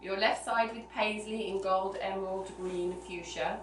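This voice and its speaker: A woman's voice: mid-range voice, steady tone, normal speed, a bit bright. Woman reading a story, distant and roomy. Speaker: reader. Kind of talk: live storytelling reading to a small audience. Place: room at a small gathering with a laughing audience. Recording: noisy roomy live recording, distant mic.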